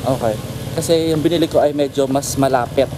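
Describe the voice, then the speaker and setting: A man's voice: mid-range voice, steady tone, brisk. A man with a Filipino accent chatting casually as a travel vlogger, mixing Tagalog and English. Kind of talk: casual vlog chat in Tagalog-English mix. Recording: very noisy outdoor street with traffic.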